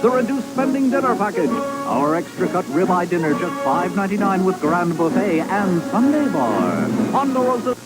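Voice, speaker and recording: A high-pitched male commercial announcer swings animatedly through a scripted advert. Loud music plays behind him throughout. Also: big pitch swings, moderate pace, low-quality recording.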